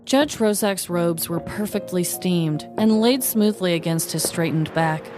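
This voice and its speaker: Host reading a comedic true-crime script, low-pitched woman, dry wit, soft music bed. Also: a little slow. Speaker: podcast host and storyteller.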